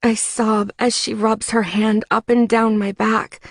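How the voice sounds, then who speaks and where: Female audiobook narrator, slightly high voice, unhurried, emotive first-person storytelling. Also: level tone, vocal fry, no room sound, clean studio sound.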